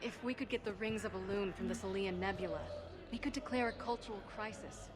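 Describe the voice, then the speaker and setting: A woman's voice: medium pitch, fairly quick, lightly acted. A woman playing a concerned, persuasive alien doctor in a video game, earnest and explanatory. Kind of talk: video game character acting.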